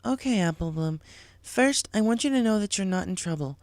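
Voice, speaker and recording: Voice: medium pitch, lively intonation, fairly quick, a little mellow, dry recording, pitched above the performer's own voice, natural voice. A performer voicing a concerned, responsible female pony schoolteacher in a story reading. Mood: reassuring.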